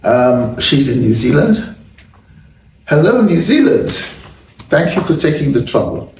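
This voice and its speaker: A man hosting a casual wellness chat, candid and self-deprecating, with a hoarse voice and frequent throat clearing. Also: mid-range voice, big pitch swings, a little slow, a little mellow. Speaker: host. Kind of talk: casual chat and viewer shout-outs. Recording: roomy echo, far from mic, faint noise.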